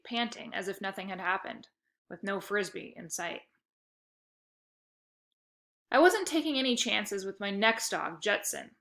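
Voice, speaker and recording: Woman reader for a kids' novel; bright, slightly high voice, lively storytelling. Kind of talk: reading a children's novel aloud.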